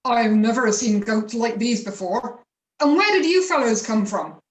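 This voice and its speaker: A humble, puzzled farmer read by a woman in a high voice, polite and a little hesitant. The room rings with echo around this amateur myth play. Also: lively intonation, measured pace. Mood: astonished. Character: middle-aged male peasant farmer, humble, puzzled host. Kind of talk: amateur dramatic play reading.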